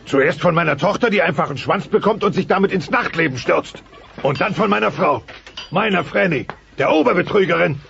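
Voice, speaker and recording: A man's voice: high-pitched, animated, brisk, creaky, fairly bright tone, heavily acted. A voice actor playing a mighty, pompous dinosaur father, blustering and sentimental, in a German dubbed family sitcom audio play. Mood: aggrieved. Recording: dull cassette transfer with music.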